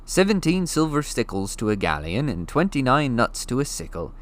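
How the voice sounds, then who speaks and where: A man voicing a big, bluff half-giant groundskeeper in a storybook reading, booming and hearty with a rustic way of talking. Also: very animated, moderate pace, natural voice.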